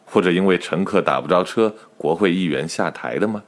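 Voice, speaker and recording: A man's voice: deep voice, fairly quick, natural voice. A man narrating a comic radio drama as a wry, mock-serious storyteller, with noise behind him. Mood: wry, dramatic.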